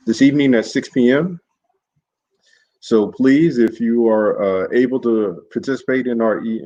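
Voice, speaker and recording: A man, an imam, giving a livestreamed sermon in an earnest, halting way, with many fillers and restarts. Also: lowish voice, mellow, lo-fi sound. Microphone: solo livestream setup.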